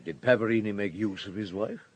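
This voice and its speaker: An actor playing a patient police superintendent in a radio drama, asking terse, probing questions and giving little away. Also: male voice, fairly low pitch, brisk, natural voice.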